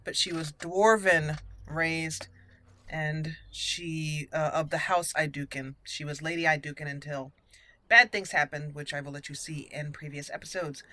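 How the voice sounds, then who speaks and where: A woman's voice: low voice, steady tone, unhurried, sharp tone, no echo. A woman hosting a gaming video, chatting casually and thinking aloud as she roleplays. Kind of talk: casual roleplay game commentary.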